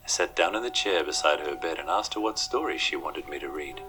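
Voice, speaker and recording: A man's voice: rumbling low, animated, brisk, bright, forceful tone. A man reading a scripted first-person horror story as its narrator. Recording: music under the voice.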